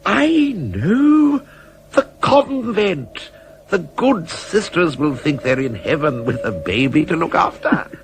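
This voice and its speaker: A kindly, fussy head monk, theatrical and exclamatory. The pitch swoops freely through a noisy vintage radio drama recording. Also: male voice, sing-song, slow, creaky, exaggerated voice. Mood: tender, flustered. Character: fussy but kindly male senior monk. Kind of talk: 1970s radio play acting.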